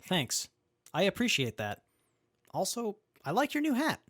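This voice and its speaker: A man playing a capable, good-humoured young wasteland mechanic in an audio drama, measured and matter-of-fact. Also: high-pitched, wildly swooping pitch, fairly bright tone, no room sound, clean studio sound, heavily acted. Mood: calm, friendly. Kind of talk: post-apocalyptic audio drama acting.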